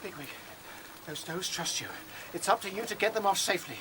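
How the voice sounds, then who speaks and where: An actor playing an earnest, courteous rabbit leader in a radio play, directing the others. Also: male voice, high-pitched, a little fast, bright, forceful tone, a little hiss or hum, low-quality recording. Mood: resolute.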